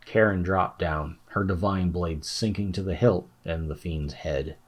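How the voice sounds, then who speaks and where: Deep, husky male audiobook narrator. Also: measured pace. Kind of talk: scripted fantasy narration.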